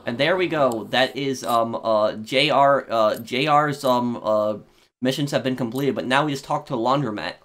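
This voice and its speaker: A man hosting a gaming video, giving casual playthrough commentary in a halting, think-aloud way. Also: mid-range voice, fairly bright tone.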